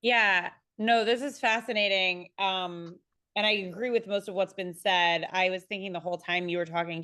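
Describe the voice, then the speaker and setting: A young woman speaking as a panelist in a conversational, animated and self-deprecating way. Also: lowish voice, dry recording, studio quality. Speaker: panelist, writer.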